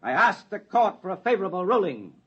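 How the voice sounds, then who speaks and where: A man's voice: high-pitched, sing-song, a little fast, fairly bright tone, breathy edge, full character voice. An American man playing a persuasive defense lawyer in a 1950s radio drama, oratorical and formally polite. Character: smooth-talking, persuasive male defense lawyer in court. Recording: dull 1950s radio recording.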